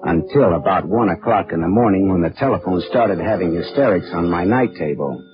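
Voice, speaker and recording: Wisecracking American gumshoe, low and animated, world-weary, slangy, vintage radio drama sound with dulled highs. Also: male voice, a little fast, music in the background. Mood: weary narration. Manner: wry, slangy, casual. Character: wisecracking, world-weary male private investigator. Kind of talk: 1940s radio detective drama acting. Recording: muffled, noisy vintage radio recording.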